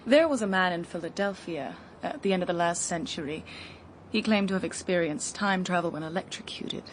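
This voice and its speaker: Actress as inquiring female interviewer, brisk, lower register, radio drama. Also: slight background noise, close to an everyday voice. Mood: curious.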